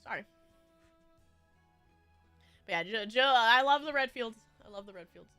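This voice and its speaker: A woman's voice: a little high, expressive, measured pace, bright, forceful tone, clean tone, dry recording, faint music behind. A woman streamer with a stuffy nose, chatting casually with her viewers in a bubbly, rambling way with frequent giggles.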